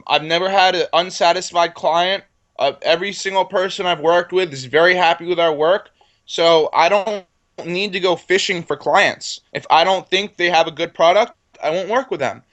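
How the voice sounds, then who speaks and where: Confident young man, slightly high voice. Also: a bit bright. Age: 20s. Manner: confident, repeats words when starting sentences. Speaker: entrepreneur guest. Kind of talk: casual interview talk.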